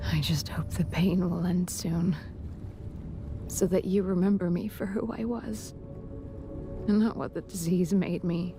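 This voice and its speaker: An actress playing a gentle dying wife reading a sorrowful farewell letter in a video game, softly and haltingly, at times in a whisper. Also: female voice, mid-range voice. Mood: tender resignation. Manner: soft, breathy, halting, sometimes whispered. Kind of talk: dramatic video game voice acting, letter monologue. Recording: game audio with clear background music. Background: game soundtrack music.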